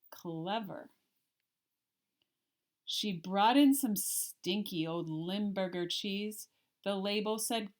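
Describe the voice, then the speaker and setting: A woman reading a children's picture book aloud like a teacher, with deliberate, expressive storytelling and emphasis on the rhymes. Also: medium pitch. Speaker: elementary art teacher.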